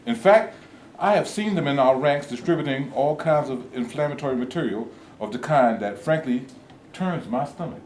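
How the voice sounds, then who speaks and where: A man's voice: mid-range voice, expressive, moderate pace, darkish tone, lightly acted. A man playing a cautious, principled activist leader in a staged play reading, formal and earnest. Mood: firm, earnest. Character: cautious male civil rights leader, principled, measured. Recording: echoey room with background noise.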